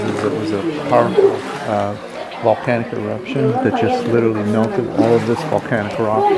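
A middle-aged man giving a casual, rambling tour of an island as a travel vlogger. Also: on the low side. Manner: relaxed, rambling tour-guide talk. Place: outdoors on a green hillside.

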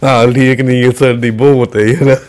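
A man's voice: mid-range voice, level tone, normal speed, darkish tone. A young man hosting a reaction video from a living room sofa, chatting and teasing casually. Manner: joking, teasing banter.

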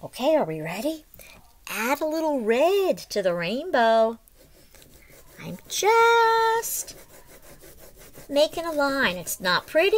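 A woman in her forties reading a picture book to young children in an expressive, sing-song, deliberate way, like a teacher, recorded at home on a webcam microphone. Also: high-pitched. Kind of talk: read-aloud lesson for young children. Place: small room at home, indoors.

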